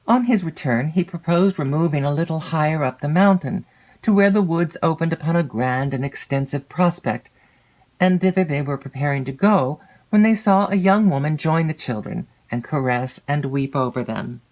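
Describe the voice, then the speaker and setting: A woman's voice: sits low, moderate pace, a little mellow. Woman narrating a classic novel. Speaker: audiobook narrator.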